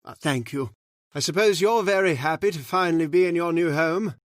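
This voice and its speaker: A man's voice: fairly high pitch, fairly quick, no room sound, studio quality, lightly acted. A man playing a genteel village reverend, formal, polite and slightly hesitant, in an old-time radio drama style. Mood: courteous. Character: courteous, genteel English village reverend, mildly dismayed.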